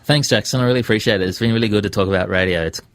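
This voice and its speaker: A man's voice: lowish voice, racing, a bit bright, dry recording. A man, a radio presenter appearing as a guest, chatting casually into a studio microphone. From Australia. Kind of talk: casual interview chat. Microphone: dynamic studio microphone.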